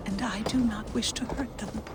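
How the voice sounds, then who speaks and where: A woman playing a gentle, reclusive giantess seer in a video game drama, haunted and devoted, speaking in a hushed, halting, dreamy way. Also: on the high side, fairly even intonation, loud music behind the voice, lightly acted. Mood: troubled, resolute. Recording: long hall reverb, faint ambience.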